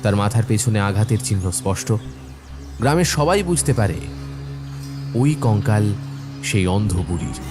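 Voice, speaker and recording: A man's voice: lowish voice, expressive, normal speed, pauses often, music in the background. A man narrating a scripted horror cartoon as a dramatic storyteller.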